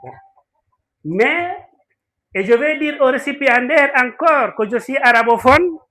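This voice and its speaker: Senegalese man, very high bright voice, slow halting ceremony speaker. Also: lively intonation, faint music behind. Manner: halting, deliberate delivery with pauses. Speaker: speaker at a school awards ceremony. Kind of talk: formal ceremony speech mixing French and Wolof.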